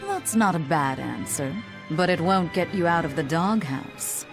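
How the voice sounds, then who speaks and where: A woman's voice: on the low side, expressive, unhurried. A woman voicing a sly, savvy sorceress in a video game, dry and languidly teasing. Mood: dry, imperious. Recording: game audio with music behind.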